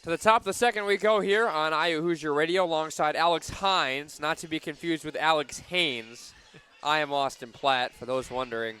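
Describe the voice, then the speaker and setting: A man doing live play-by-play for a softball radio broadcast outdoors, punchy on big plays and conversational between them. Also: on the high side, normal speed, clean tone. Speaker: play-by-play sports announcer.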